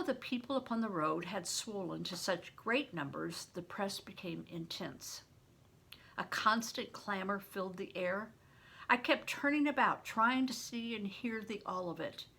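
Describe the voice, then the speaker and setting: A woman's voice: mid-range voice, animated, measured pace, vocal fry, pushed and bright, breathy edge. An older woman, a grandmother, reading a story aloud expressively, as if to children. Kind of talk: read-aloud audiobook narration with plain asides.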